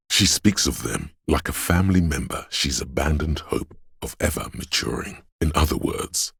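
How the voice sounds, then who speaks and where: An actor voicing a gentle, perceptive inner voice of empathy in a video game, with solemn, measured, intimate narration in a gravelly, husky voice. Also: male voice, extremely low pitch, no echo, studio quality, exaggerated voice. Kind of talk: dramatic second-person game narration.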